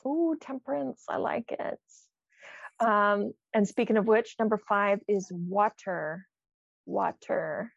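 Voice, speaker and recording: A woman with a noticeable vocal fry chats as a podcast co-host. She reads out items and muses over them encouragingly. The recording is dry and a bit muffled. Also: mid-range voice, slow, dry recording. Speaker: podcast co-host, quiz reader. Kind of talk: casual banter, reading out quiz items.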